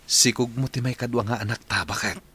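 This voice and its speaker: A man playing a caring, earnest young husband in a radio drama, speaking gently and solicitously with an Ilocano accent. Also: mid-range voice, steady tone, a little fast, breathy edge. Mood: tender, attentive.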